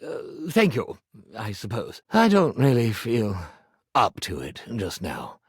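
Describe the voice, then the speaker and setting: A man voicing a pompous, insecure stage magician for a video game, with grandiose, florid delivery and dramatic pauses. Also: lowish voice, huge pitch swings, husky, no echo, clean studio sound, heavily acted. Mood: reluctant, subdued. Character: pompous theatrical iron-turned-stage-magician, melodramatic and insecure. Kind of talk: video game character acting.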